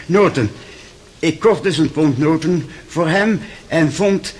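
An actor playing a kindly, forgetful old German doctor giving halting, sentimental testimony in a radio drama. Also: male voice, fairly high pitch, breathy edge. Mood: excited, fond. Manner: halting, searching for words, sentimental.

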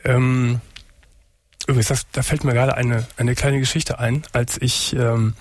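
A man hosting a late-night call-in radio show, hoarse from a sore throat, talking calmly and earnestly. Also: medium pitch, measured pace, no echo. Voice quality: husky. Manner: calm, earnest, encouraging.

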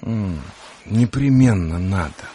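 A man playing an emotional, earnest sailor in a radio play, speaking in a colloquial, halting way. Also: low-pitched, lively intonation, mellow, slight background noise. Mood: reluctant, firm. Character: rough-hewn, emotional young sailor, earnest and pleading.

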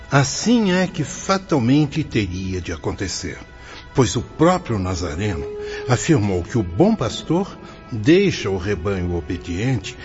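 Male actor as wise fatherly spirit teacher, animated melodic delivery, formal oratory, radio drama, dull recording, light music behind. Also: on the low side. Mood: fatherly, fervent. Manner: solemn, didactic, formal oratory. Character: wise, fatherly male spirit mentor explaining afterlife rules. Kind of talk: radio soap opera acting.

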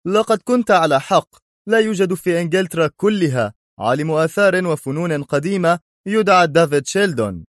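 A man voicing an explosive, furious foreign art collector who shouts in a high-strung way. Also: expressive, a little fast, no echo, studio quality, close to an everyday voice. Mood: angry, agitated.

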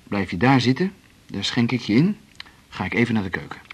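An actor with a Netherlands Dutch accent playing a playful, romantic cellist hosting a dinner date in a 1980s radio drama. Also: male voice, lowish voice, big pitch swings, brisk. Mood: attentive, brisk. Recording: 1980s radio recording, clear background noise.

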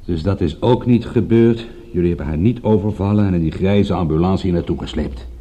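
Husky, sardonic captive detective. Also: male voice, low voice, fairly quick, warm tone. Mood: bitter, defiant. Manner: sardonic, dry, stubborn. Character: wry, defiant male private detective held captive. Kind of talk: radio drama acting.

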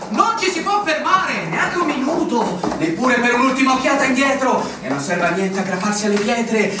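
An actor playing a resigned, philosophical long-serving officer in a lyrical, declamatory style. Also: male voice, very high voice. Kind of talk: Italian stage drama acting. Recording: echoey distant stage recording with noise.

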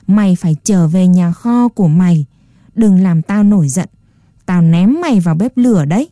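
A woman's voice: on the low side, expressive, normal speed, warm tone, dry recording, studio quality, lightly acted. A woman voicing a grumpy, scornful old dollmaker who scolds and shoos a child away. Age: older. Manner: gruff, scolding, dismissive.

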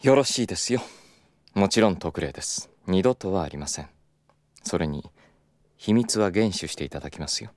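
A very low-voiced, self-assured executive for an audio drama. He speaks in polite, unhurried business language with a persistent, insinuating edge, recorded dry and close. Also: expressive, no echo. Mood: gracious, condescending. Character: smooth, persistent, self-assured male club executive from Kyoto. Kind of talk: Japanese audio drama acting.

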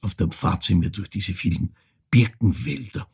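An actor playing a haunted older man with an Austrian accent, telling of his mother in a halting, intimate theatrical monologue with a husky, creaky voice. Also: fairly low pitch, expressive, darkish tone, exaggerated voice. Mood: wistful. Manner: halting, brooding, shifting into whispers.

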